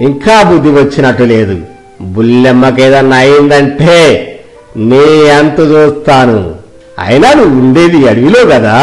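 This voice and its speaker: A man's voice: mid-range voice, natural voice, poor audio. A man playing a boisterous, loyal reformed bandit in a theatrical storybook tale, scolding and rallying with protective force. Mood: angry scolding. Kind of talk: dramatic children's storybook acting.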